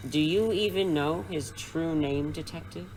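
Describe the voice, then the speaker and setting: A man playing a menacing crime boss in an audio drama, with a mockingly polite, formal, unhurried manner. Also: fairly high pitch, noisy background, close to an everyday voice. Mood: smug, calm. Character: suave, menacing male crime boss interrogating captives.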